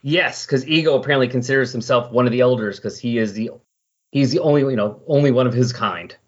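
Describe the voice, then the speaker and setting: A man's voice: mid-range voice, quick pace. A man hosting a casual comic-book discussion, explaining things in a conversational way. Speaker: podcast host. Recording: dull, lo-fi voice recording.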